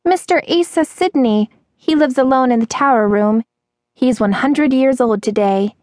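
A woman's voice: sits high, normal speed, darkish tone, dry recording, clean studio sound, pitched above the performer's own voice, close to an everyday voice. A woman voicing a timid, anxious young waitress in a storybook, speaking hesitantly and pleadingly. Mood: anxious, confiding. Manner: hesitant, breathless stammering, pleading.

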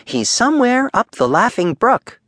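A man's voice: very high-pitched, very animated, normal speed, a bit bright, no room sound, studio quality, pitched above the performer's own voice, full character voice. A man voicing a small, short-tempered otter in a children's story, complaining indignantly and with emphasis. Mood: sulky.

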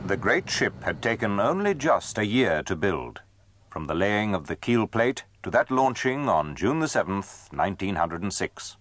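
A man's voice: fairly low pitch, big pitch swings, normal speed, a bit bright. A man narrating a dramatised history from a script.

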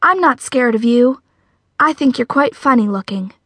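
A plucky, frightened young girl from a storybook, voiced by a woman. She pitches her voice high and stands up for herself with bold, emphatic defiance. The recording is dry. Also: expressive, moderate pace, fairly bright tone, no room sound. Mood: defiant.

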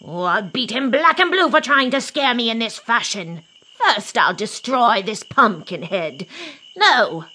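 A woman's voice: fairly high pitch, very animated, measured pace, bright, forceful tone, slightly rough, exaggerated voice. A woman playing a wicked, scheming old witch in a radio drama, cackling and theatrical. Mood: gloating, scheming. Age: older. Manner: theatrical, gleeful, scolding. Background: music.

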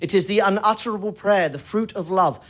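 High-pitched, solemn Quaker patriarch. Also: male voice, a bit bright. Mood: solemn, devout. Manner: solemn, measured, preaching. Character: grave, principled Quaker shoemaker, family patriarch. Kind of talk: audio drama stage acting.

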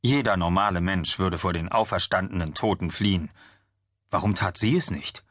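A man with a very low voice narrates a fantasy audiobook. The recording is dry and close, with no room around the words. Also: moderate pace, fairly bright tone, no room sound.

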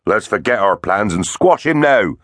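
Guy doing a big gruff mammoth baddie, snarly n rumbly, swingy pitch, storybook schemer. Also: big pitch swings, moderate pace, a bit bright, dry recording, clean studio sound. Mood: vengeful. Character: hulking male woolly mammoth builder, menacing schemer.

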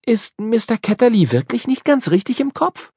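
A man voicing a curious, outspoken young girl in a storybook reading, in a raised, bright tone. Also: very high voice, very animated, normal speed, husky, dry recording, clean studio sound, voice pushed up, heavily acted. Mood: pert. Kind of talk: storybook character voice.

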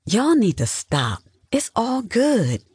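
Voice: mid-range voice, big pitch swings, moderate pace, darkish tone, husky, no room sound, studio quality, pitched above the performer's own voice. A narrator voicing a patient, placating adult wife in a soothing, conciliatory way. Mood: calming.

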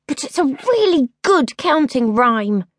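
A woman's voice: high voice, big pitch swings, a little slow, dry recording, clean studio sound, pitched above the performer's own voice. A woman voicing a cheeky, rude little girl princess in a storybook reading, bratty and defiant. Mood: sulky.

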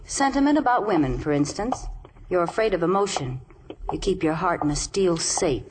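A woman's voice: lowish voice, expressive, moderate pace, darkish tone, natural voice. Woman playing a wry secretary, reverberant old radio. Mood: candid, affectionate. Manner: wry, teasing, poised. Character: quick-witted, self-assured young female detective's secretary. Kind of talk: 1940s radio acting. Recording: reverberant, noisy vintage radio recording.

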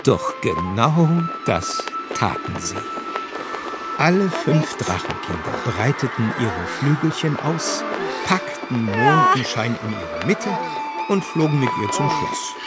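A man narrating a children's fairy tale in a scripted audiobook style. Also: medium pitch.

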